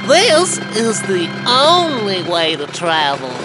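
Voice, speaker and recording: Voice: medium pitch, huge pitch swings, slow, poor audio. A performer voicing an innocent, carefree newly hatched baby turtle in a children's cartoon, naive and chirpy. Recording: loud background music.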